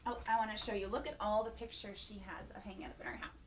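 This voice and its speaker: A woman hosting children's storytime, reading a picture book in an animated, playful way and chatting to young listeners with questions and exclamations. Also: mid-range voice, fast talker, far from the mic, noisy background.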